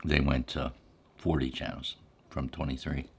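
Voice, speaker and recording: A middle-aged or older American man with a deep, low voice, reminiscing casually in a laid-back, rambling ASMR storytelling style with frequent pauses. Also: flat delivery, slight background noise.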